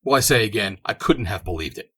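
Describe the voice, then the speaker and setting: A man's voice: fairly low pitch, animated, brisk, fairly bright tone, husky. A man voicing an indignant young man who talks with heated emphasis. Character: angry young man confronting a friend.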